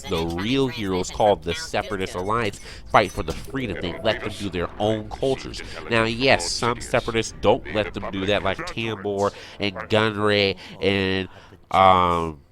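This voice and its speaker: A man with a low-pitched voice speaks as an online commentator. He rants like an opinionated fan, pressing his points insistently and circling back to them with exasperation.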